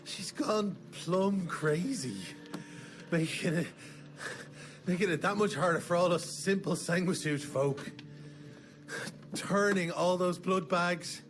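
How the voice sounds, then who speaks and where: An actor playing a cynical, crude vampire informant in a video game, with a gravelly voice and a sly, folksy American drawl. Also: male voice, high-pitched, slow, background noise. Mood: bitter, scornful. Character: scrappy cynical outlaw vampire informant, adult man. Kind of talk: dramatic video game voice acting.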